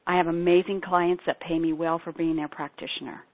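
A woman's voice: fairly low pitch, level tone, fairly quick. A middle-aged woman, a spiritual practitioner and singer-songwriter, telling her personal story in an earnest, heartfelt way. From Ethiopia. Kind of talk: personal testimony talk. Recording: tinny phone-like sound.

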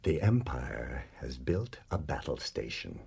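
A man's voice: very deep voice, very animated, measured pace, creaky, darkish tone, slightly rough, heavily acted. An actor playing an arrogant, ambitious imperial nobleman in a space-opera radio drama, speaking in a pompous, formal, theatrical way. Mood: grandiose. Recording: music behind the voice.

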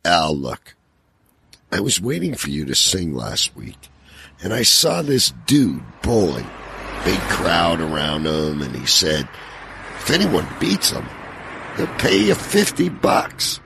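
A man's voice: low-pitched, wildly swooping pitch, takes it slowly, vocal fry, noisy background, full character voice. A man playing a scheming, hesitant buddy who cajoles his friend in a comedic audio drama. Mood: cajoling.

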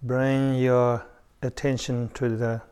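Very slow, even-toned male meditation guide. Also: medium pitch, clear, resonant tone. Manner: unhurried, with long pauses.

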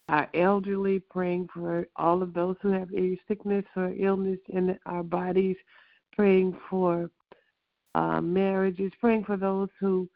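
A hoarse, congested speaker leading a morning prayer call with a measured, repeated litany and some fillers. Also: medium pitch, no room sound. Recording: tinny phone line, very gravelly.

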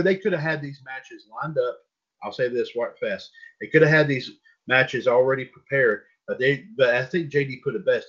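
A man's voice: mid-range voice, dull audio. A man hosting a casual wrestling talk show, rambling and repeating words and filler as he talks. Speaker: podcast host.

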